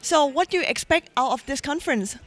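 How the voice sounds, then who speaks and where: High-voiced woman interviewer, polite. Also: expressive, fairly quick, a bit bright. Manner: polite, scripted questions. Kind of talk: conference interview.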